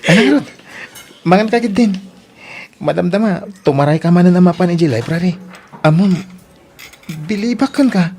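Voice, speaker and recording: A woman plays a young student pal in a radio drama, with a fairly low voice and a Filipino accent. The delivery is teasing and playful yet earnest and devoted, over a faint bed of noise. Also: expressive, moderate pace, long pauses, darkish tone. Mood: teasing, concerned. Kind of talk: Ilocano radio drama acting.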